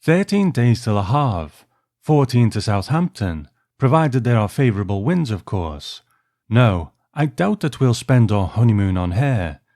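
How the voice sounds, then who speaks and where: A man's voice: mid-range voice, big pitch swings, a little slow, warm tone, no echo, clean studio sound. A man voicing a smug, well-travelled travel agent with a dismissive, knowing manner in a story reading.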